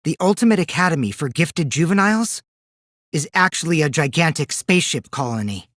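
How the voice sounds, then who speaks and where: A man's voice: a little high, lively intonation, fairly quick, fairly bright tone, no room sound, clean studio sound. A man voicing a mischievous, taunting teenage boy schemer in a video game, with a light boyish voice and a theatrical, teasing delivery. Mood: grave, explanatory. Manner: theatrical, teasing, swinging between sing-song and cold. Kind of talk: animated video game dub acting.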